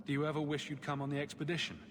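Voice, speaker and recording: An actor playing a warm, wry hero and protective brother in a fantasy video game. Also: male voice, on the high side, completely flat delivery, rapid-fire, close to an everyday voice. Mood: wry, gentle. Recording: echoing space, noisy ambience.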